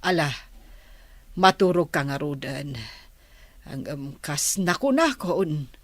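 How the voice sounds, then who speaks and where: A woman's voice: sits low, very animated, slow pace, no room sound, full character voice. A woman with an Ilocano accent playing a worried, pleading village mother of a grown son in a radio drama.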